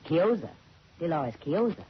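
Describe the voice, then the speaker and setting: A woman's voice: deep voice, sing-song, unhurried. A woman playing a cool, sultry nightclub singer in a 1940s radio detective drama. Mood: cool, teasing. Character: cool, sultry female nightclub singer, film-noir dame. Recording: dull, noisy old radio recording.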